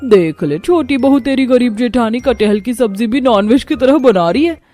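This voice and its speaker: A woman's voice: a little high, expressive, moderate pace, mellow. A woman playing a nosy, teasing neighbourhood auntie in a cartoon story.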